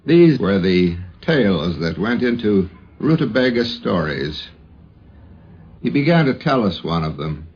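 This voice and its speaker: Male narrator, low and unhurried, scripted reminiscence on a dull 1950s radio recording. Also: big pitch swings, slight background noise.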